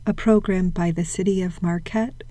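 A woman's voice: on the low side, fairly even intonation, moderate pace, dark tone. A woman reading a scripted podcast introduction as host, over plucked guitar. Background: plucked acoustic guitar music.

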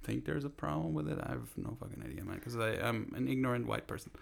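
A man's voice: medium pitch, expressive, quick pace, gravelly. A man in his thirties chatting casually as a podcast co-host, laid-back and self-mocking, swearing freely. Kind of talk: casual chat.

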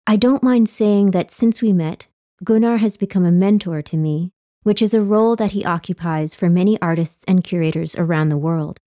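Low-voiced woman, close and dry recording. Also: moderate pace, mellow, no room sound. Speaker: interviewer and exhibition curator. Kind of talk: scripted introduction and conversational interview.

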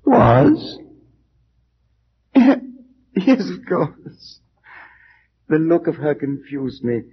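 A dull, noisy old radio recording carries a morbid man crazed by grief. He speaks slowly in a high-strung theatrical style, moving between breathy hush and feverish intensity, like the doomed heir of a horror play. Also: very animated, exaggerated voice. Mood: morbid wonder. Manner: theatrical, swinging from hushed whispers to feverish outbursts. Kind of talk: 1940s-50s radio horror drama acting.

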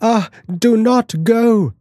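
A man's voice: medium pitch, animated, a little slow, a little mellow, breathy edge, no room sound, clean studio sound, voice pushed up. A man voicing a terrified, guilt-ridden mother who cries out in anguish.

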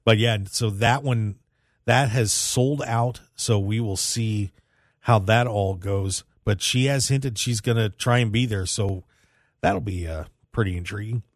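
A man's voice: on the low side, measured pace, no room sound, studio quality. A man hosting a radio show, talking casually about sports news in a rambling way and repeating phrases for emphasis.

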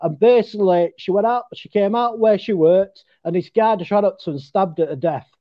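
A man's voice: sits high, a little fast, no room sound, muffled recording. A man with a Leeds accent speaking casually and from the heart on a talk show, using local turns of phrase. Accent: Northern English, Leeds. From Leeds, England. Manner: chatty, heartfelt, says "what" for "that". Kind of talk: casual podcast chat.

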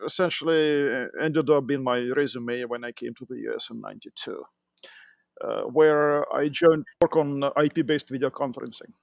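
A middle-aged man from Russia with a Russian accent, a podcast guest telling his career story with many pauses and fillers. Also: on the high side, vocal fry, no room sound. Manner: hesitant, many fillers and restarts. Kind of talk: interview conversation.

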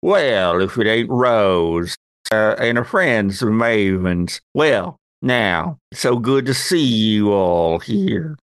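A man voicing a suave, dapper gentleman in his sixties for a tabletop game, with a folksy, sly, hinting manner. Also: lowish voice, expressive, slow pace, clean tone, no echo. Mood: smooth, friendly. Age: 60s.

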